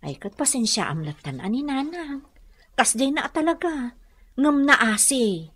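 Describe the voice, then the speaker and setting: A woman's voice: high voice, very animated, unhurried, a bit bright, slightly rough, full character voice. A woman playing a struggling but determined, hardworking wife in a radio comedy drama, earnest and plaintive, with a Northern Luzon Filipino accent. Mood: weary, earnest.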